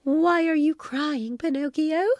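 Woman playing a quiet gentle fairy, storybook. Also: high voice, takes it slowly, dry recording, pitched above the performer's own voice. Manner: soft, gentle.

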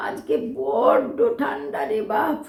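A woman's voice: sits high, wildly swooping pitch, takes it slowly, creaky, a little mellow, roomy sound, exaggerated voice. A woman playing a poor, tender, grieving older aunt in an emotional audio drama, crooning soothingly in an eastern Bengali dialect. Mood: weary, resigned. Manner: crooning, repeating phrases soothingly.

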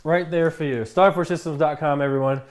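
A man's voice: on the high side, brisk. A man in his early thirties hosting a casual live stream from his home kitchen, blunt and dry in his replies. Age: early 30s. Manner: blunt, dry, deadpan refusals. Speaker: streamer host.

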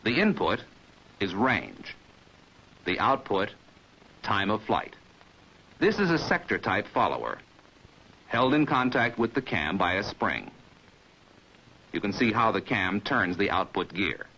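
An American man reading a scripted 1950s training film narration in a measured, precise, didactic manner, as heard on an old film soundtrack. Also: lowish voice, sing-song, sharp tone, husky, dull audio.

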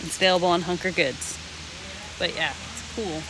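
Excited young woman chatting at a campsite, hissy noisy outdoor audio. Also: lowish voice, normal speed. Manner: bubbly, excited, playful. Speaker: vlogger. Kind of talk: casual chat.

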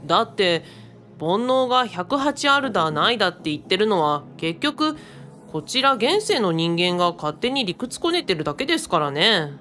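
Woman acting Kaoru, polite lively young assistant. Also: mid-range voice, normal speed. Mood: explaining, wry. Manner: courteous, animated. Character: Kaoru, polite, bashful, level-headed young female assistant. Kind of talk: radio drama acting.

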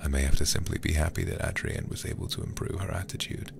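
A man streaming a game as ASMR, reading aloud and chatting softly in an intimate, soothing, breathy way, close to the microphone. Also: rumbling low, fairly even intonation, quick pace, noisy background. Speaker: livestreamer playing horror games.

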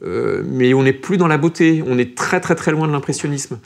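A man's voice: medium pitch, normal speed, gravelly. A man speaking as an art critic in a panel debate, circling back and repeating words as he builds his argument. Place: TV studio.

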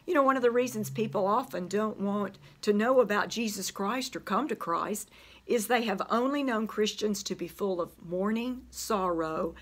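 An older woman with a Southern US accent teaching a church Bible study, her voice still worn from the flu, speaking slowly and deliberately from a library in front of a camera. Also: mid-range voice. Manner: slow, deliberate teaching with emphasis on scripture quotes. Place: church library with bookshelves, indoors.

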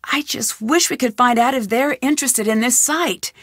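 Young girl detective for a game, inquisitive and earnest, bright higher voice, dry studio read. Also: moderate pace, no room sound. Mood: probing. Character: young female amateur detective, curious and determined. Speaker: game protagonist. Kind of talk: studio video game voice lines.

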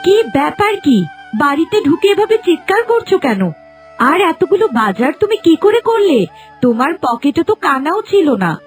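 A woman's voice: extremely high pitch, sing-song, normal speed. A woman playing a stern, principled village wife in a cartoon moral story, reproachful and moralising. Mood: scolding. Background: background music.

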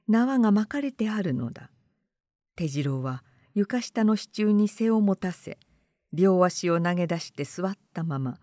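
A woman narrator reading literary fiction aloud in a measured, composed manner. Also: medium pitch, dark tone, no echo, clean studio sound.

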